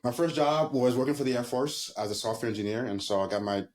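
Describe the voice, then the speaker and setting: A man in his thirties from Haiti, a guest answering interview questions in a relaxed, storytelling way. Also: mid-range voice, quick pace. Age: 30s. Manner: relaxed, storytelling, laughs easily.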